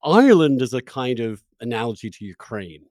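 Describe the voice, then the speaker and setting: A man interviewing in a casual, conversational way, tentative and halting, often repeating words as he frames a point. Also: medium pitch, very animated, darkish tone, dry recording, studio quality. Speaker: interviewer, podcast host.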